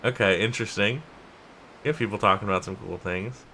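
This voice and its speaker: A man's voice: on the low side, brisk, sharp tone, a little hiss or hum. A man in his twenties chatting casually as a streamer, rambling and restarting his sentences while reading out chat. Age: 20s. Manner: rambling, trails off and restarts, laughs easily. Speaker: streamer playing a strategy game.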